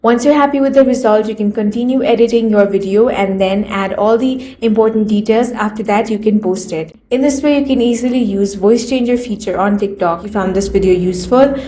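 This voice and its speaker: A woman's voice: mid-range voice, brisk, hardly any pauses, dark tone. A woman giving scripted how-to narration in a plain, step-by-step instructional way. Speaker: tutorial narrator.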